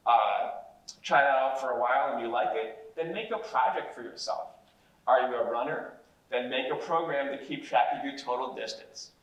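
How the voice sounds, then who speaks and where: A man giving a measured, encouraging public talk, his voice of middling pitch sounding heavily echoey. He is picked up by a mic some way off in a large room. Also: a bit bright, recorded from a distance. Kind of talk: presentation to young people.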